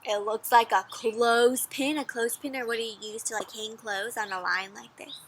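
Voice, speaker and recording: A woman giving an interactive storybook read-aloud for children, animated and encouraging, often asking her young listeners questions. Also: fairly high pitch, normal speed, slight background noise.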